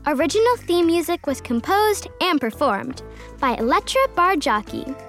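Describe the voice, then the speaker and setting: High, lively young-sounding voice reading podcast credits. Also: moderate pace, faint music behind. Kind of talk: scripted credits read.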